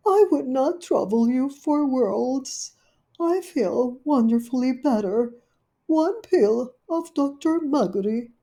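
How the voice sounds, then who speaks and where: Fretful female dog, genteel, polite, high voice, woman reads her, storybook. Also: animated, takes it slowly, warm tone, exaggerated voice. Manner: worried, genteel, talking to herself.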